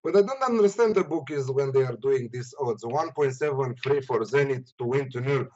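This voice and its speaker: A man with a Romanian accent giving casual sports betting analysis in a halting, hesitant way. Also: mid-range voice, darkish tone, lo-fi sound. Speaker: betting tipster and panelist.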